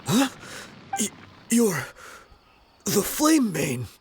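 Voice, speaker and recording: Gruff, animated bandit mercenary. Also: male voice, high voice, very slow, slight background noise, heavily acted. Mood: shocked. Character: stubborn, defiant male bandit mercenary. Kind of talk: video game voice acting.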